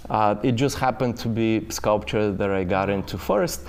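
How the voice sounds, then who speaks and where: Low, smooth-voiced painter from Bulgaria. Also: male voice. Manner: halting, with many fillers and restarts. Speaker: painter, studio guest. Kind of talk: casual interview talk.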